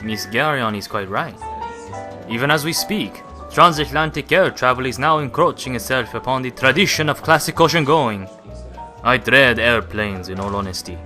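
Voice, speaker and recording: A man playing a courteous, earnest Italian gentleman passenger in a radio play, speaking formally and with measured politeness. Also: mid-range voice, expressive. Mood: genteel unease. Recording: music bed under dialogue. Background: music.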